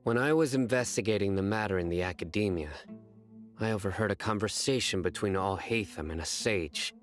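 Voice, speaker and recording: A man acts out a stern enforcer of justice in a video game. The voice is very low, grave and measured, with formal, resolute delivery over faint music. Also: lightly acted. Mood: accusing. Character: stern, principled young male officer of justice, solemn and resolute. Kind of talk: dramatic video game voice acting.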